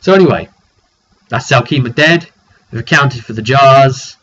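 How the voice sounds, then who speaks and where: A man recounting a tabletop fantasy roleplay session as game master, casual and improvised, in a halting, informal way with some throat clearing. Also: medium pitch, a bit bright. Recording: dull lo-fi audio with faint noise.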